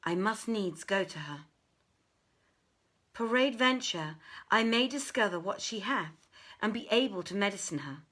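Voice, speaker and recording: A woman's voice: mid-range voice, lively intonation, measured pace, pauses often, fairly bright tone. A woman voicing a devoted young male traveller from an old tale, speaking formally and ardently in archaic phrases. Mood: determined.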